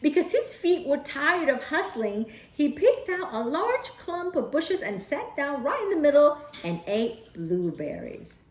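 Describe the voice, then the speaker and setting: Slow, expressive woman reading a picture book. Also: sits high, slight background noise. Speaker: storyteller. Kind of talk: children's picture-book read-aloud. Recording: roomy echo.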